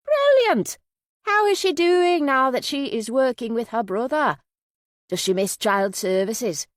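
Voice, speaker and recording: Audiobook role: affectionate, effusive Yorkshire woman friend, high and very animated, voiced by a female narrator. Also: a little slow, dry recording, clean studio sound. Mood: bright, delighted. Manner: chirpy, effusive, uses endearments.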